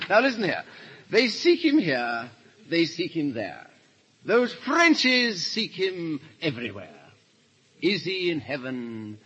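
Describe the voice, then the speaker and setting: An actor playing a foppish, affable, foolish-seeming English gentleman in a 1930s radio drama, pompous and dithering, repeating himself. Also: a little high, huge pitch swings, takes it slowly, husky, exaggerated voice. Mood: smugly silly. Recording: dull 1930s radio recording.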